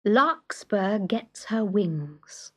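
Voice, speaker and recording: A woman reading a children's story as expressive scripted narration. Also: medium pitch, drawn-out words. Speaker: story reader.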